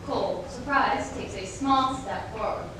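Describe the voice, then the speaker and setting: A young woman giving dramatic scripted narration on stage with deliberate delivery. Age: young adult. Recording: echoey room, distant mic, noisy rumble.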